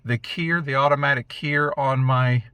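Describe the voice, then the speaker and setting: A man talking casually to camera from inside a parked car, explaining things in a relaxed, conversational way. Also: medium pitch, normal speed, clear, resonant tone, no echo. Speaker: vlogger, amateur radio operator. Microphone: small camera mic in the car.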